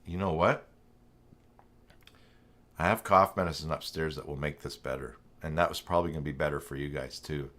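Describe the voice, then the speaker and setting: A man hosting a casual web design live stream, rambling and self-deprecating, his voice croaky from a recent illness with an occasional cough. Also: very deep voice, fairly even intonation, brisk, long pauses, fairly bright tone.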